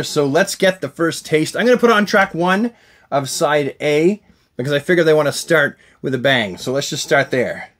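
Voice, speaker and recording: A man's voice: fairly high pitch, fairly bright tone. A middle-aged man giving casual, jokey unboxing commentary to camera, effusive and repeating words for emphasis. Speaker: host of a comics collecting channel. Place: small indoor room packed with collectibles.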